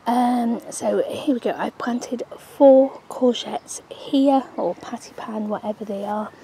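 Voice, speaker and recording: A woman's voice: a little high, takes it slowly, dark tone, slight background noise. A woman chatting casually while touring her allotment garden, rambling with frequent ums. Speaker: allotment vlogger. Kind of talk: casual gardening vlog narration. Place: sunny outdoor allotment garden. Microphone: handheld camera, speaker off screen.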